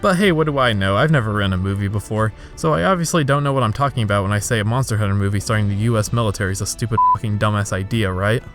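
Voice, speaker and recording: A man's voice: on the low side, rapid-fire, few pauses. A man narrating a scripted comedic video review in a sarcastic, exasperated way. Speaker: video essay host. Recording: background music under voice. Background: music.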